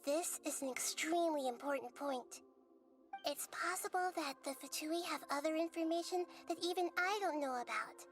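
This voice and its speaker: A woman voicing a gentle, wise young girl deity in a video game, speaking in a measured, articulate, earnest way. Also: sits high, music in the background, lightly acted. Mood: calm, explanatory. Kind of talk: scripted video game voice acting.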